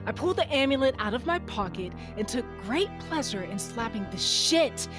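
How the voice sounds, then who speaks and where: A woman's voice: a little high, animated, moderate pace, natural voice. A woman playing a sassy, sweary young witch who narrates and acts in a horror-comedy audio drama. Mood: wry relish. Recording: music behind the voice.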